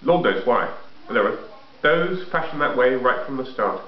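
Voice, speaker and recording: A man's voice: medium pitch, lively intonation, normal speed. A man reading a children's story aloud. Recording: echoey room, muffled home recording.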